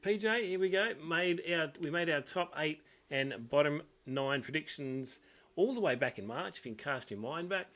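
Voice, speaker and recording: A man co-hosting a casual sports podcast, laid-back and quick to laugh at himself. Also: a little high, animated, fairly bright tone. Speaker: podcast co-host. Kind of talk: casual sports tipping chat.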